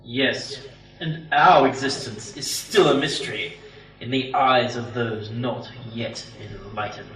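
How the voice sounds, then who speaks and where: A man's voice: medium pitch, slow, roomy sound, far from the mic, close to an everyday voice, low-quality recording. A man playing a zealous, easily offended cult acolyte in an amateur sci-fi radio play, with a pious, formal manner. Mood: troubled.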